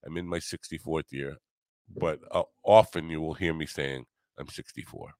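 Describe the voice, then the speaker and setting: A man in his early sixties with a deep voice, recorded dry with no room around it. He hosts a casual solo podcast, rambling from thought to thought in a halting way. He is self-deprecating and drops in the odd curse. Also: steady tone, a little mellow, dry recording. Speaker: podcast host.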